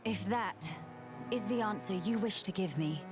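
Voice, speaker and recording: An actress playing a gentle, regal young imperial heiress in a video game, with a formal, stately delivery. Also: female voice, mid-range voice, unhurried, over music, close to an everyday voice. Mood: pained, concerned. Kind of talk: video game cutscene acting.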